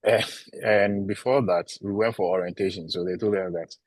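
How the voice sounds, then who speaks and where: A man from Ghana with a Ghanaian English accent, giving measured interview answers about teaching. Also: deep voice, fairly even intonation, a little mellow, no room sound, rough recording. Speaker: international PhD student and teacher.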